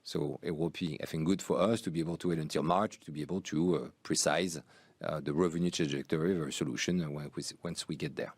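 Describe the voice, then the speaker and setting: A man speaking as a chief executive on an earnings call, answering in a measured, carefully qualified way. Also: extremely low pitch, steady tone, breathy edge, no room sound. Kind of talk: earnings call Q&A, unscripted explanation.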